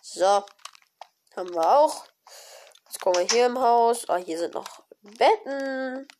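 A woman talks through her gameplay in a relaxed, chatty way, breaking into excited exclamations and stumbling over fillers. She has a cold, and her voice sits a bit high. A faint hiss runs under the recording. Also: takes it slowly. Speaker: gaming video creator. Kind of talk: casual let's-play commentary.

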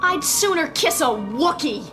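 An actress playing a proud, sharp-tongued young princess in a radio drama, formal and defensive, with music behind her. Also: sits high, expressive, a little slow. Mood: indignant, defensive.

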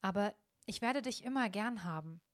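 A woman's voice: medium pitch, expressive, normal speed, dry recording, clean studio sound, natural voice. A woman voicing a kind young woman in a storybook reading, earnest and heartfelt. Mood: gentle.

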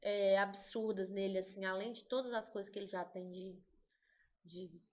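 A woman's voice: mid-range voice, steady tone, moderate pace. A woman from Brazil, a songwriter in a band, talking casually in a podcast interview and often searching for words.

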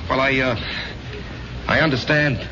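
An actor playing a troubled, hesitant but earnest ex-combat soldier in an old-time radio drama. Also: male voice, mid-range voice, fairly even intonation, unhurried, crowd noise, close to an everyday voice. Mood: evasive. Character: Jerry, troubled ex-combat soldier, evasive, resigned. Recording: dull, noisy vintage radio recording.

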